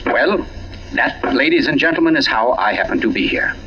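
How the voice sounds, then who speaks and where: An actor playing an urbane, pompous gentleman writer turned sleuth in a 1940s radio mystery, teasing and deliberate in his delivery. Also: mid-range voice, animated. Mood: grandly self-assured. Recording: dull lo-fi old radio broadcast.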